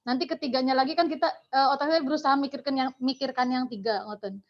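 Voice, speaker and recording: A woman's voice: high-pitched, fairly even intonation, quick pace. A woman with a Javanese Indonesian accent asking a question from the audience, rambling and mixing in Javanese words. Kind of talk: casual question. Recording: dull lo-fi sound from off-mic.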